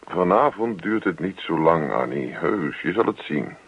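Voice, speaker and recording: An actor playing a put-upon, evasive husband in a radio play, muttering, grumbling and placating. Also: male voice, sits low, expressive, unhurried, slightly rough, exaggerated voice. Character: put-upon evasive husband who just wants peace and his newspaper. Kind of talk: Dutch radio play acting. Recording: dull lo-fi old radio recording.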